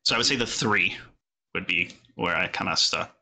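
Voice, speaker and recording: A man from Canada, a native Portuguese speaker, chatting casually as a podcast guest in a rambling way, full of filler words and quick laughs. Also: low-pitched, creaky, pushed and bright. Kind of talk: casual interview chat.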